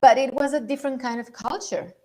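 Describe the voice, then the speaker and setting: A woman's voice: high voice, fairly even intonation, fairly quick, a little mellow. A middle-aged woman from Romania giving an online leadership masterclass, chatting through her slides with fillers over a video call. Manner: conversational, thinking aloud with fillers. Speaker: leadership coach.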